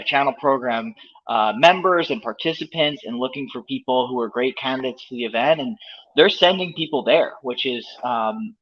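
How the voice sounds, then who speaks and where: A man leading the company behind the event, talking enthusiastically and repeating words while he thinks, as a guest on a video call. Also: medium pitch. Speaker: company leader, event co-host. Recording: dull lo-fi audio.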